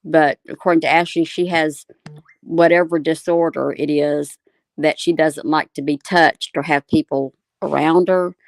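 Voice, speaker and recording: Female panelist, even flat intonation, tentative, conversational, no room echo. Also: sits low, unhurried. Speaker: discussion panelist. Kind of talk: casual discussion.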